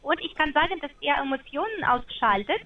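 A woman's voice: high-pitched, expressive, fairly quick. Formal woman on a dull phone line. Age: 40s. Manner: formal, scripted, with some slightly ungrammatical phrasing. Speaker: sales agent on a phone call. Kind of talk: sales call.

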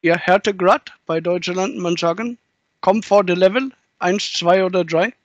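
A man's voice: high voice, measured pace, dry recording, dull audio. A man playing a muddled foreign caller who mangles German, stumbling and mixing in English and Spanish words. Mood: baffled. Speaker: prank caller.

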